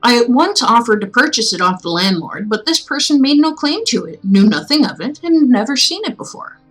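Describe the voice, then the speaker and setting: A woman with a slightly high voice hosts a reading stream. She moves between expressive, measured storytelling and playful, casual chat. Faint music plays behind her. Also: rough recording. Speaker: livestream host reading classic tales aloud.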